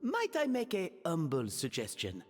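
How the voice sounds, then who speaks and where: A man voicing a theatrical gentleman gourmand in an anime game, amused and florid, with courtly, pompous delivery. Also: fairly high pitch, wildly swooping pitch, normal speed. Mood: grandly courteous. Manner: florid, pompous, old-fashioned courtly wording. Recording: long reverb, music behind.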